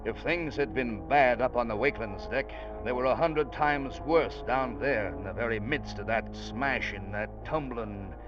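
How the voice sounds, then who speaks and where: A level-voiced first mate tells the tale of a stormy voyage, as a man acts him out. The dull old broadcast has music running clearly behind his narration. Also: medium pitch, unhurried, few pauses, close to an everyday voice. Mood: narrating. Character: ship's first mate, steady seaman narrating. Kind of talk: 1940s radio acting.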